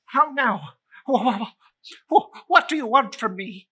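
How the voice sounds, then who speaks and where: A woman's voice: fairly high pitch, animated, takes it slowly, pushed and bright, husky, pitched above the performer's own voice, exaggerated voice. A woman voicing a stubborn, irritable old man who is rattled, stammering and blustering, in a dramatic storybook reading. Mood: alarmed.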